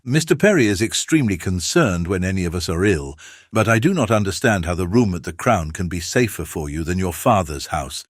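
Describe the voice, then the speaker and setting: A man acts out a fretful, easily alarmed old gentleman with a British accent. His voice is deep and a little unclear, courteous yet fussing, recorded dry for a period audiobook drama. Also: expressive, brisk, no room sound. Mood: warmly indignant. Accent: British English. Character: fussy, anxious, easily alarmed elderly gentleman father.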